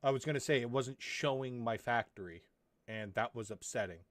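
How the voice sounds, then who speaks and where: A man's voice: medium pitch, moderate pace, soft background music. A man streaming a game, chatting casually and rambling to himself as he checks his microphone and sets things up. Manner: rambling, talks to himself, drops into muttered asides. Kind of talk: casual live-stream chat while setting up. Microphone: desk microphone he is testing.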